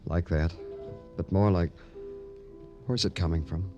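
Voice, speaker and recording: An American man playing a brooding, hardened New York detective in 1950s radio crime drama style, speaking in a terse, moody, deliberate way. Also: low-pitched, steady tone, darkish tone, highs cut off. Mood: quiet, guarded. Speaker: lead actor and narrator. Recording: vintage radio recording with music.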